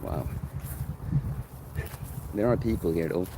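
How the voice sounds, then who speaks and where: A young man with a deep bass voice chatting casually outdoors while livestreaming a Q&A, rambling and correcting himself as he thinks aloud. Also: slow, very noisy. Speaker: coach streaming live Q&A. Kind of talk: casual live-stream chat answering viewer questions. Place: outdoors in a park near sunset. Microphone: phone camera held by the streamer.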